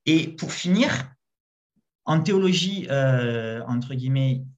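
Man, casual spoken debate, halting explanation, repeated restarts, medium voice, dull lo-fi sound. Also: mid-range voice, expressive, darkish tone. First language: not Arabic. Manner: explanatory, many restarts and hesitations. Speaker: discussion guest.

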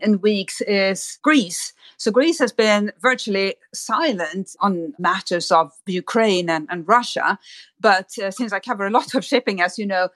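Deliberate woman analyst, dry recording. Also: medium pitch, no room sound. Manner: hesitant, deliberate phrasing with repeated words. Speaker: guest analyst. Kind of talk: spoken analysis in a discussion.

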